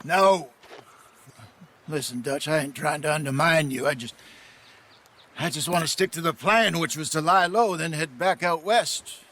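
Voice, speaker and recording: A man's voice: fairly high pitch, unhurried, pauses often, bright, forceful tone. An actor playing a cautious older American outlaw in a video game, earnest and cautioning as he speaks. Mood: worried, objecting. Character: cautious older male outlaw, doubting right-hand man of leader. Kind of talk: video game cutscene acting.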